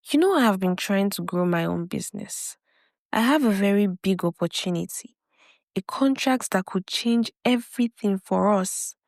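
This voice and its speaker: A smooth-talking suitor , voiced by a woman, coaxes and reassures in a storybook tale. The recording is close and dry. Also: medium pitch, animated, moderate pace, darkish tone, no echo, natural voice. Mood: coaxing. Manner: coaxing, reassuring. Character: smooth-talking, scheming male suitor and businessman.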